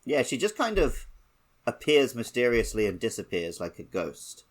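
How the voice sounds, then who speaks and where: A man's voice: mid-range voice, wildly swooping pitch, moderate pace. A man co-hosting a podcast, casually talking through a video game. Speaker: podcast host.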